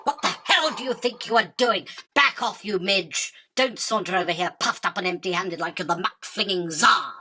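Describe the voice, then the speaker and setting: A woman's voice: medium pitch, normal speed, hardly any pauses, bright, forceful tone, husky. A woman playing a bossy, irritable ageing female spider in a theatrical radio drama, haranguing and full of florid insults. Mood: scornful, angry.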